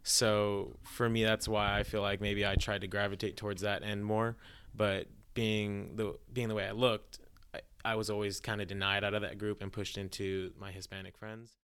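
A man's voice: fairly low pitch, little pitch movement, moderate pace, fairly bright tone, smooth. A young man with an American accent, giving a reflective personal account as a podcast guest. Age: young adult.

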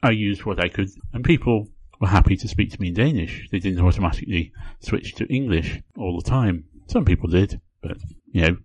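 A man with a notably low, deep voice talks through his podcast on his own. His delivery is relaxed and chatty, with frequent small restarts and repeated words as he thinks aloud. The sound is close and dry, with no room echo at all. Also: fairly quick, few pauses, slightly rough. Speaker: podcast host. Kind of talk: casual solo podcast talk.

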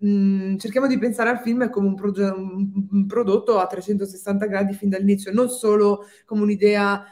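A woman's voice: mid-range voice, level tone, normal speed, mellow. A woman speaking as a guest film producer in a casual live-stream interview, explaining and correcting herself as she goes.

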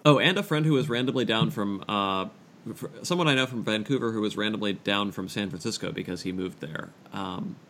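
Halting, low-voiced man in casual chat. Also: a bit bright, a little hiss or hum. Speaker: podcast co-host. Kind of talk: casual chat among friends.

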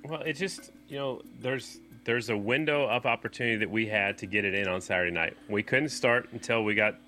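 A man's voice: on the low side, a little fast, sharp tone, soft background music. A man co-hosting a podcast, explaining race details in casual commentary. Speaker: podcast co-host.

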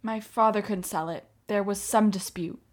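A woman's voice: mid-range voice, fairly even intonation, moderate pace, slight background noise, lightly acted. A woman acting in a radio play as an anguished, defiant young Armenian mother, pleading with feeling. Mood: resolute, sorrowful. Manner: impassioned, pleading.

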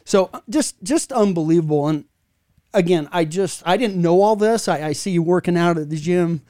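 A man hosts with a mellow, relaxed tone pitched a bit above the usual male range. He talks with eager, rambling enthusiasm and keeps restarting his sentences. The sound is dry and close to the mic. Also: moderate pace, no echo. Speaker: podcast host. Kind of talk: casual chat.

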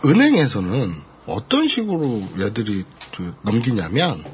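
A middle-aged man in casual talk, explaining debt matters and telling stories with rough slang and frequent laughs. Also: mid-range voice, measured pace, slight background noise. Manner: animated storytelling with bursts of laughter and rough slang. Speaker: guest explaining debt collection. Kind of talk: casual podcast chat.

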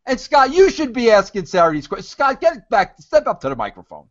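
Brief: man in his fifties, bossy executive, swooping animated delivery, muffled lo-fi sound. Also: very high-pitched, fairly quick. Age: 50s. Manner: teasing, pushy, interrupts. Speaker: network executive. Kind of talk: bossy coaching of interviewers.

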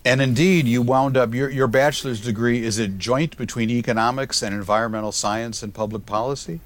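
A middle-aged man hosting an academic podcast interview, reading a measured introduction and then asking conversational questions. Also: medium pitch, steady tone. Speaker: podcast host, professor.

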